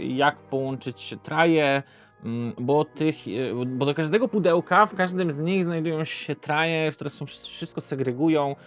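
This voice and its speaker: A Polish man presenting an informal, unscripted board game video review, hesitating and often correcting himself as he goes. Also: on the high side.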